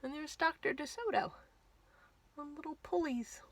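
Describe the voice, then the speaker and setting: A woman hosting a children's storytime, reading a picture book aloud with clear phrasing and emphasis for young listeners. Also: high-pitched, moderate pace, slight background noise. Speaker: children's librarian, storytime host.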